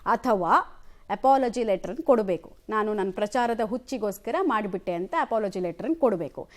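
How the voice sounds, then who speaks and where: A woman with a Karnataka accent anchoring live news, speaking emphatically and putting questions to reporters. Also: fairly high pitch.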